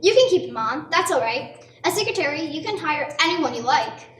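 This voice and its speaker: A young woman playing a brisk small-town office worker in an amateur radio play. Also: high-pitched, animated, fairly bright tone. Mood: excited. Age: young adult. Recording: echoey room, distant mic, some noise.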